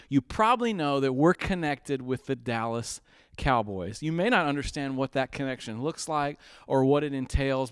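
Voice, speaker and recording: A man's voice: mid-range voice, smooth, dry recording, clean studio sound. A man hosting a charity telethon, making earnest, upbeat appeals for donations with frequent uhs and restarts. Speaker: fundraiser host.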